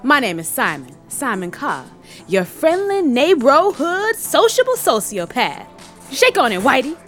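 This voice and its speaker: A woman's voice: high-pitched, wildly swooping pitch, measured pace, fairly bright tone, soft background music, full character voice. A woman acting a brash, cheeky sneak thief in an audio comedy drama, with glib, teasing, slangy patter. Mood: upbeat, cocky. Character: brash, cheeky sneak thief named Simon, sociable hustler.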